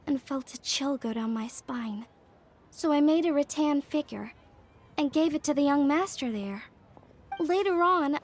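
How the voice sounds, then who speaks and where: A woman voicing a chatty young craftswoman from a fortune-telling clan in a video game, explaining things in a candid, conversational way. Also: sits high. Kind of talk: video game dialogue acting.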